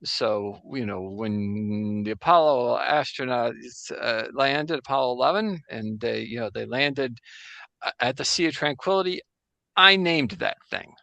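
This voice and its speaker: A man playing a 17th-century Jesuit astronomer priest in a casual improvised interview over a video call, halting and full of restarts. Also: mid-range voice, vocal fry, sharp tone, no echo. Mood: wry. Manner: halting, full of restarts and fillers, joking anachronisms. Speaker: guest in role-play.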